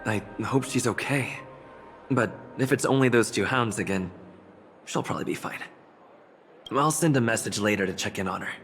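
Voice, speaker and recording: Game companion: easygoing, considerate young man, fairly low pitch, light music underneath. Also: moderate pace, long pauses, fairly bright tone. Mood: concerned, calm. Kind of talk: video game voice acting.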